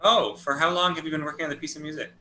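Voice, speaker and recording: Bright, chatty man interviewer. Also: on the high side, rapid-fire. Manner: chatty, lively, laughs. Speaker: interviewer, host. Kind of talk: casual interview talk.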